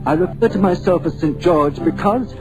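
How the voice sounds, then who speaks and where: A man's voice: high-pitched, moderate pace, music in the background, lightly acted. A man playing a grandiose occult crusader. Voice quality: theatrical, grandiose. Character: Harley, eccentric occult-hunting older man. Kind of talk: 1940s radio drama acting. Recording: muffled old radio broadcast.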